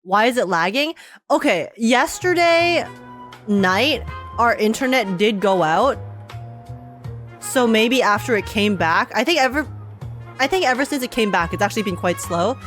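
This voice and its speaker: A woman's voice: a little high, lively intonation, measured pace, fairly bright tone, faint music behind. A young woman live-streaming a game with casual, slangy reactions, expressively repeating phrases for effect.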